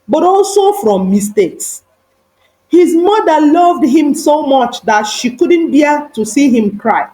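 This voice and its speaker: Slow, high-voiced woman storyteller. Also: darkish tone, rough recording. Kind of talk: dramatic moral folktale narration.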